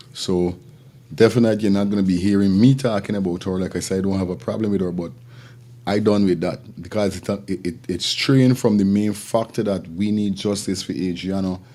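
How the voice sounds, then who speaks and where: Low-voiced, mellow Caribbean male host. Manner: informal, repetitive, slangy patter. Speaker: live show host. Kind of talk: casual live-stream talk with shout-outs.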